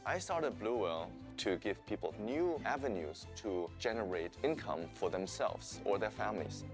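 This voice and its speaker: A man's voice: fairly low pitch, animated, moderate pace, music in the background. A man from Germany with a German accent, introducing himself as a startup founder and telling his personal story plainly. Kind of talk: intro to camera.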